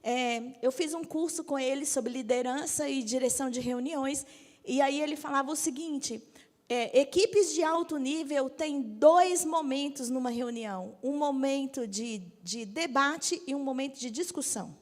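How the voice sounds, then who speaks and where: A woman in her fifties with a Brazilian Portuguese accent, a bishop giving a lively church teaching talk and joking with the audience. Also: high voice, a little slow, long reverb tail. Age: 50s. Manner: lively, jokes with the audience, asks for agreement.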